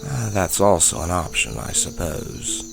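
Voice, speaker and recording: Deep male voice, creaky, gruff fantasy trapper acted by a man, dry and blunt, formal wording, audio drama over music. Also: very animated, measured pace, heavily acted. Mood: determined. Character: gruff father and trapper in a fantasy swamp forest, eager to act.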